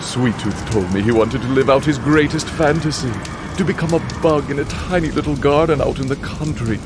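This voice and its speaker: An actor voicing a sinister, gloating contest master, narrating a video game in a dramatic, drawn-out way. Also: male voice, mid-range voice, noisy background, natural voice. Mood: ominous. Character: sinister, gloating male host of a deadly car contest. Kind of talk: theatrical scripted game narration. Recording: loud music behind the voice.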